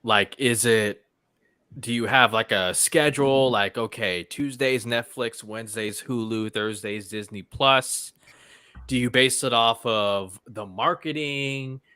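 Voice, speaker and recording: Dude cohosting a movie chat, bright punchy voice, really dry recording, emphatic. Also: male voice, mid-range voice, slow pace, clear, resonant tone, dry recording. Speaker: co-host of a movie talk show. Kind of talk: casual chat, posing questions.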